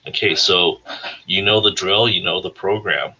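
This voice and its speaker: A man with a husky voice hosting a casual interview show over an online call. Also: low voice, steady tone, normal speed, bright, forceful tone, dull audio. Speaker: host of a weekly music career show.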